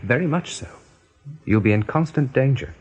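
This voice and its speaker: An actor playing a determined rabbit chief in a radio play, earnest, commanding and persuasive. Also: male voice, mid-range voice, lively intonation, normal speed, darkish tone, breathy edge.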